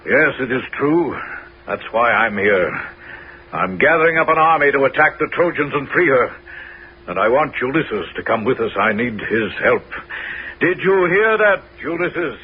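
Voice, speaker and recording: A forceful king set on war acted by a man in seventies radio drama manner. The delivery is lively, rising and falling widely at a middling pitch. It sounds like a dulled old broadcast with faint noise. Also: normal speed, natural voice. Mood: urgent. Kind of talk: 1970s radio drama acting. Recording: dull, faintly noisy old radio recording.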